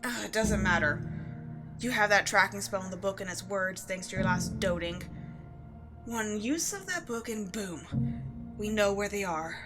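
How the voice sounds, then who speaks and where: A woman's voice: mid-range voice, animated, normal speed, natural voice. Woman playing a snappish villain sister over music. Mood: irritated. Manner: snappish, sneering. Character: vicious, impatient villain sister, bloodthirsty and scornful. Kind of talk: audio roleplay drama acting.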